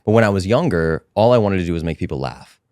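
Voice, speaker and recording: A man from Rhode Island, a podcast guest, telling stories about his music life in animated, casual chat. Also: deep voice, no echo, studio quality. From Rhode Island, USA. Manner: animated, fast storytelling.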